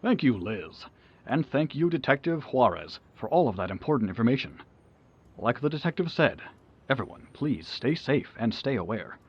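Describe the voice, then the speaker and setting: Man as animated news anchor, muffled broadcast. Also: medium pitch, normal speed, slightly rough. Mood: earnest. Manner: formal, emphatic anchor delivery. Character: smooth, earnest male news anchor. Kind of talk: audio drama newscast.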